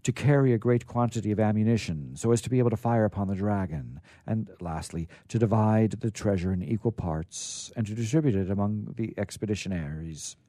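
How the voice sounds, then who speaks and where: A man's voice: lowish voice, brisk, few pauses, dark tone, no room sound, studio quality. A man hosting a radio show, chatting casually and reading old stories aloud.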